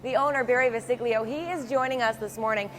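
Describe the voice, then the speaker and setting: A woman's voice: a little high, fast, fairly bright tone, slight background noise. A young woman reporting local news and weather for TV in an upbeat, chatty way, with a few fillers.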